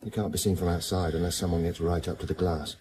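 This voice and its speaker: An actor playing a calm, world-weary widowed detective in a dry, clipped, understated way for radio drama. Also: male voice, low voice, fast talker, slight background noise, close to an everyday voice. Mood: calm, persuasive. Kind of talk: naturalistic radio drama acting.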